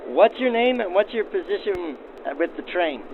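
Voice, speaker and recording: A man's voice: high voice, very animated, moderate pace, slight background noise. A man hosting and interviewing in a formal, descriptive old-time radio manner, with his voice filtered like a 1940s broadcast. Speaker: host and interviewer of a train excursion show. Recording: narrow phone-like vintage radio sound, music behind.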